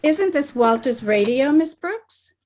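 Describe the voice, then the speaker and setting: Woman playing eager, high-voiced teenage schoolgirl. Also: lively intonation, slow pace, darkish tone, a little hiss or hum. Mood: curious. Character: eager, excitable teenage schoolgirl, principal's daughter. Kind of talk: 1950s radio comedy acting.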